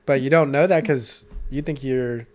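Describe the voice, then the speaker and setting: A man's voice: medium pitch, normal speed, darkish tone. A man of about thirty talking casually on a podcast, informal and agreeable, in short conversational replies.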